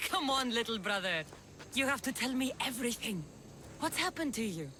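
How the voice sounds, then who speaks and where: A woman's voice: fairly high pitch, sing-song, moderate pace, bright, forceful tone, a little hiss or hum. A woman playing a tough but caring young warrior and older sister in a video game, emotional and insistent. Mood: relieved. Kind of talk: dramatic video game acting.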